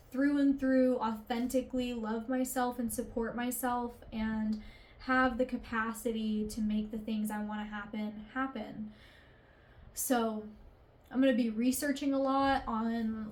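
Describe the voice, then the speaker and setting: Woman, 20s, confessional vlogger; unhurried, rambling, emotional delivery, slightly high. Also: darkish tone. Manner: rambling, emotional, swears casually, many fillers. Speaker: artist vlogger. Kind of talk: candid confessional vlog.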